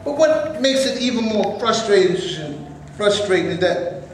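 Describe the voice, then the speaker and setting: A man reading the part of a mild-mannered peacemaker in a staged play reading, speaking in a calming, placating way. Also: high voice, normal speed. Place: theater stage before a live audience. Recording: distant voice on echoey stage, faint noise.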